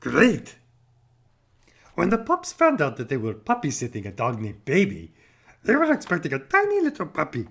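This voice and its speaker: A man's voice: on the high side, huge pitch swings, moderate pace, gravelly, a bit bright. A man hosting a children's video, chatting encouragingly to young viewers.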